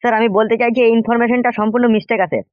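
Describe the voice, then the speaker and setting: Man acting a high-pitched, rapid-fire cartoon henchman. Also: little pitch movement, no room sound, dull audio. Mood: earnest, fawning. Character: crude, eager henchman loyal to his crooked boss. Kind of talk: animated comedy cartoon dialogue.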